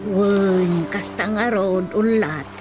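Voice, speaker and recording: A woman's voice: medium pitch, slow pace, natural voice. An actress playing a worried, talkative mother in an Ilocano radio drama. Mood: fretful. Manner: fretful, chattering. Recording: radio drama with music behind.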